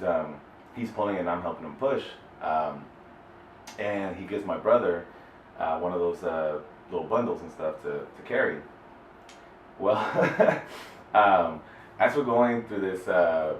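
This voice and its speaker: A man from El Salvador telling a personal story off the cuff, in a halting, relaxed way with many fillers and restarts. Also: low-pitched, slight background noise. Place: Houston interview space. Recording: roomy distant mic with faint ambience.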